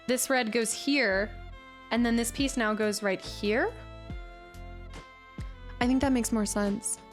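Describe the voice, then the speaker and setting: Female streamer, slightly high voice with a touch of congestion, chatty and informal, replying to viewers over background music. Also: steady tone, slow pace. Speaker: livestream host. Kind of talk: casual live stream chat while building.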